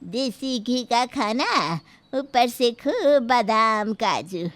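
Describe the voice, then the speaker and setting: A woman's voice: on the high side, lively intonation, drawn-out words, a bit bright, no room sound, full character voice. A woman voicing a crotchety, bossy old grandmother-in-law in a story, grumbling and commanding. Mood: approving. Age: older. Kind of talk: Hindi moral story character acting.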